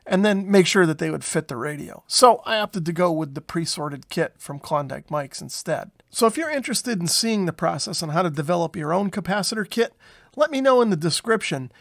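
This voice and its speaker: A man narrates a hands-on tutorial. His voice sits slightly higher than most men's. He explains each step in a plainspoken, methodical way. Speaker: host of a radio repair tutorial.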